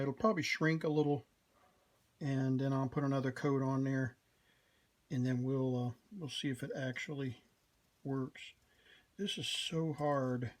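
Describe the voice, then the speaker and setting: Slow, monotone man narrating a repair. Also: mid-range voice. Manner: halting, thinking aloud. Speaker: repair video host. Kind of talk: casual hands-on repair narration.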